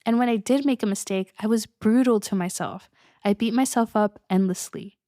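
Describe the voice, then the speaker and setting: A woman's voice: mid-range voice, moderate pace, warm tone, no room sound, studio quality. A young adult woman hosting her own podcast as a coach, talking casually and upbeat, often breaking into little laughs. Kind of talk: solo podcast monologue.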